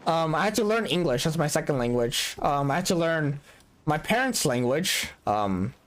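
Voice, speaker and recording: A man's voice: fairly high pitch, sing-song, moderate pace, a bit bright. A young adult man streaming a game in casual chat, joking about himself and laughing often. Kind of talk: casual live-stream chat while gaming.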